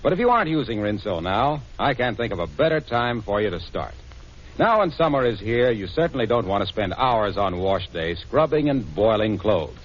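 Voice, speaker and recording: A man's voice: on the low side, sing-song, moderate pace, a little hiss or hum. A man announcing a sponsored 1940s radio show with polished, emphatic scripted delivery. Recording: dull vintage 1940s radio recording.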